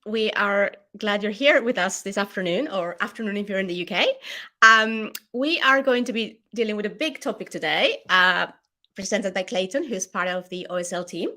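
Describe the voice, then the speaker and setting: Woman, event host, bright tense voice, brisk friendly announcements, video call. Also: medium pitch, animated. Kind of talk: introducing a speaker.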